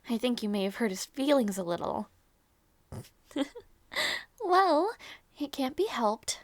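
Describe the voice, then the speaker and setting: Casting: female actor for tender princess aunt in audio roleplay, high voice, very dry close sound, doting and coaxing. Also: no room sound, exaggerated voice. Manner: sweet, coaxing, sighing. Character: gentle doting princess aunt minding her little nephew.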